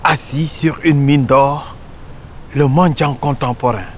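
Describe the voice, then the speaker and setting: A man from Casamance, Senegal, an actor delivering a theatrical one-man monologue in a declamatory, poetic way with dramatic pauses. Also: on the high side, fairly even intonation, slight background noise.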